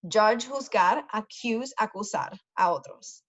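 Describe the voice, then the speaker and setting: A woman's voice: mid-range voice, animated, measured pace, fairly bright tone. A woman tutor reading a story aloud for language learners, carefully repeating key words and adding short translations. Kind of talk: slow read-aloud of a story for English learners, with brief Spanish glosses.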